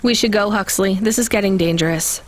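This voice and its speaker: A woman's voice: mid-range voice, fairly quick, natural voice. Wry young woman delivery driver, played by an actress. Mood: worried, urgent. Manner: dry, sarcastic. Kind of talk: video game voice acting.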